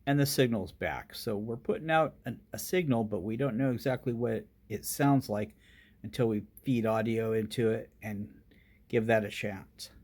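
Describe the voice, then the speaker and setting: A man's voice: fairly low pitch, moderate pace, a little mellow. A man narrating a casual hands-on electronics demonstration as its host.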